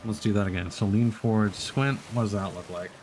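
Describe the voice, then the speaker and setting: A man streaming a video game, chatting along in a relaxed, joking way and laughing often. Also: on the low side, fairly quick, slight background noise. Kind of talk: casual gameplay commentary.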